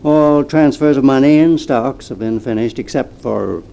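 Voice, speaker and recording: An actor in an old-time radio drama playing a timid, loyal assistant with a conscience, deferential and halting. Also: male voice, mid-range voice, vocal fry, dark tone, dull audio, close to an everyday voice. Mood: dutiful.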